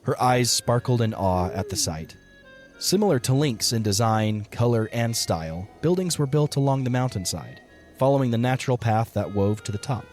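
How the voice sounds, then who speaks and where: A man's voice: mid-range voice, lively intonation, normal speed, darkish tone. A man narrating a dramatic story as a scripted audio drama narrator. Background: music behind the voice.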